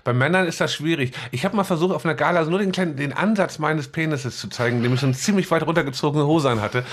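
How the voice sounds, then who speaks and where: A man's voice: fairly high pitch, fast. A man hosting a podcast in a casual, colloquial and rambling way. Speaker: podcast host. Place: at home, sharing one microphone with a guest.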